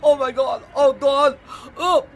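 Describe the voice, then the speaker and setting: A man's voice: very high-pitched, fairly even intonation, slow. A man playing an outraged, stubborn, pious police sergeant in an audio drama, speaking formally and emphatically. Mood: horrified. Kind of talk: full-cast audio drama acting.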